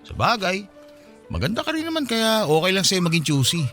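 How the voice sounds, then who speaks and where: A man's voice: sits high, very animated, fairly quick, a bit bright, faint music behind, exaggerated voice. An actor playing a friendly 27-year-old Filipino man in a radio drama, chatty and teasing in a casual way. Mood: teasing, curious. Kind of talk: Tagalog radio drama dialogue.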